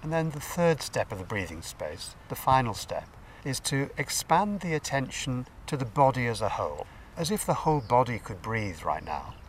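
A man leading a guided meditation as a teacher, giving gentle, unhurried instructions with pauses. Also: medium pitch, sing-song. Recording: distant mic, faint background noise.

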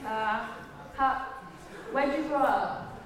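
Hesitant, high-voiced woman in echoey hall. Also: slow, over a noisy crowd. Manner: hesitant, unsure. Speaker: volunteer questioner from the audience. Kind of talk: hesitant spontaneous questioning. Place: large hall with audience. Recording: very echoey hall, distant, audience noise.

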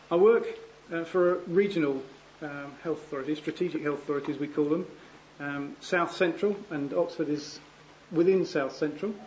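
A man's voice: a little high, highs cut off. Male conference chair, hesitant delivery, light hiss behind. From England. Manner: hesitant, many ums and restarts. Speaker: panel chair. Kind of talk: conference panel introduction. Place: conference hall.

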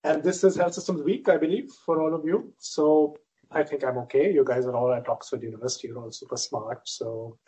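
A middle-aged man from India giving a relaxed academic lecture as a visiting professor, self-deprecating and full of fillers. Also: medium pitch, fairly quick, breathy edge, low-quality recording.

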